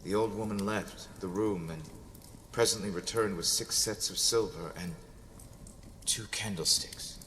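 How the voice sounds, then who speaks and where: A man's voice: lowish voice, unhurried, a bit bright, a little hiss or hum. A man giving a dramatic first-person narration of a story. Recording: long reverb over background music. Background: music.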